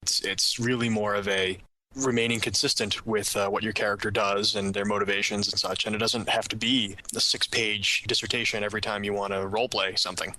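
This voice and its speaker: Male panelist, lowish voice, flat intonation, casual explainer. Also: a little fast, low-quality recording. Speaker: podcast panelist.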